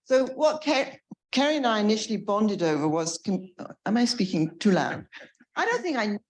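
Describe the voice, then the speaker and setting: Loud-voiced older woman in echoey room. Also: medium pitch, very animated, moderate pace. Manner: loud, earnest, with frequent fillers and restarts. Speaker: panel speaker, emerita professor. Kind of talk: academic panel talk.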